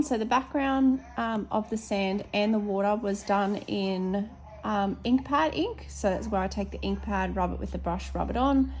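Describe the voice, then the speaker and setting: Australian woman, casual hobby vlogger, a little hoarse, chatty and self-correcting, mid-pitched. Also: darkish tone, a little hiss or hum. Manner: chatty, many ums, corrects herself. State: slightly hoarse, losing her voice. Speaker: teacher showing her colouring pages. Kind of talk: casual flip-through chat.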